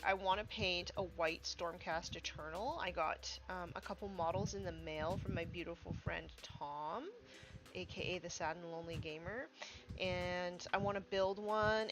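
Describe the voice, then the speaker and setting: A woman's voice: lowish voice, background noise. A woman streaming herself painting miniatures, chatting casually with lots of fillers and a congested voice. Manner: rambling, many fillers like "like" and "um". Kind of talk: casual chat while painting. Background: music.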